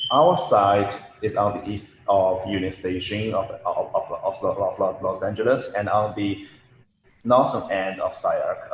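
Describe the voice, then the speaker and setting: A young man from Shanghai, presenting his graduate thesis carefully and a little haltingly. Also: on the low side. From Shanghai, China. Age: young adult. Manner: careful, somewhat halting delivery. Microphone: computer mic on a video call. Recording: echoey room with faint noise.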